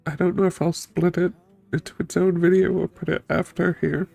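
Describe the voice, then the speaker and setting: A streamer talking to viewers in an unscripted way, tearful, sniffling and choked up from crying, halting and at a loss for words. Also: mid-range voice, little pitch movement, creaky, mellow, no room sound. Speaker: streamer, game playthrough host. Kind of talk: emotional unscripted chat to viewers after finishing a game.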